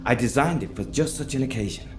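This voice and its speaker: Actor as a roomy-voiced earnest tech engineer. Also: male voice, medium pitch, fast, soft background music, lightly acted. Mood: eager. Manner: explanatory, friendly. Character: helpful, earnest male tech engineer, easily tempted by cookies. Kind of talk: video game voice acting.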